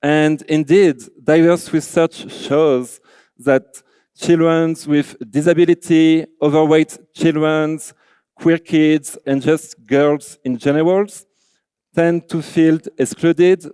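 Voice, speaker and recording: A man with a French accent addresses a conference, earnest and loose, leaning on "like" and "you know". His voice sits a touch high for a man, yet mellow and smooth. The sound is dry and close. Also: fairly even intonation, takes it slowly, dry recording, low-quality recording. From France. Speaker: conference speaker, designer. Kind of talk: conference talk. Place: large auditorium.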